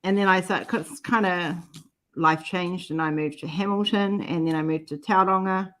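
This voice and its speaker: A middle-aged woman with a New Zealand accent talks in a fairly low voice. She is a guest in a casual group chat, sharing personal stories in an informal way. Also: fairly even intonation, moderate pace, fairly bright tone.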